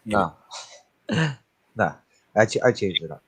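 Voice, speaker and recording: A man in his forties chatting casually as a guest, halting and repeating his words. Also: on the low side, big pitch swings, slightly rough. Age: 40s. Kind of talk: casual chat.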